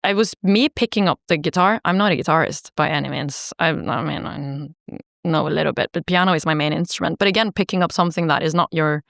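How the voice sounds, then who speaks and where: A singer-songwriter woman from Norway, chatting casually in an interview, laughing easily and filling pauses with ums and likes. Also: deep voice, gravelly, a bit bright, dry recording, clean studio sound. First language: Norwegian.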